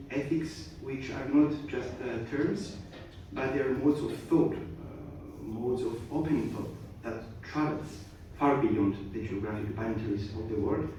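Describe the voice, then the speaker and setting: Man, halting formal speech, echoey hall. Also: medium pitch, warm tone. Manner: halting, many hesitations and restarts. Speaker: guest speaker. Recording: echoey hall, distant mic, faint noise.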